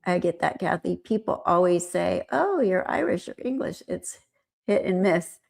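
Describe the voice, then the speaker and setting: A woman from the United States with a General American accent, hosting a livestream and chatting in a rambling, animated way. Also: lowish voice, normal speed, gravelly. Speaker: livestream host. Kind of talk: casual livestream chat.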